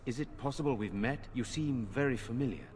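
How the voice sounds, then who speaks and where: A man voicing a noble lord who speaks in a formal, measured way. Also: mid-range voice, darkish tone, lightly acted. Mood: grave. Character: noble lord, grave and courteous.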